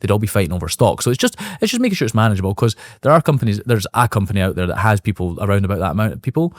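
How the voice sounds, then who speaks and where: A man in his thirties speaks as a guest in a casual business interview, quick and upbeat, often restarting his sentences as he thinks aloud. His voice sits a little low and moves along at a brisk pace. The sound is dry and close, with no room around it. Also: sing-song, no echo. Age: 30s. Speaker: guest, real estate brokerage owner.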